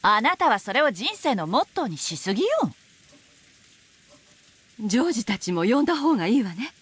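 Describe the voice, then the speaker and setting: A woman playing a proper, anxious middle-aged lady of a well-off family, with a breathy voice. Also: on the high side, very animated, rapid-fire, a bit bright, heavily acted. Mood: chiding, brisk.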